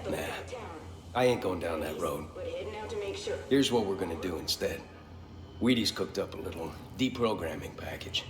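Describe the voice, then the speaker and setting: Casting: gruff resistance boss for a game, middle-aged, lowish voice, folksy and decisive, echoey space. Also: male voice, animated, moderate pace, pauses often, music in the background. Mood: determined briefing. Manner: plain-spoken, commanding, folksy. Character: gruff middle-aged male militia leader, steady and decisive. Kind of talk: video game cutscene dialogue.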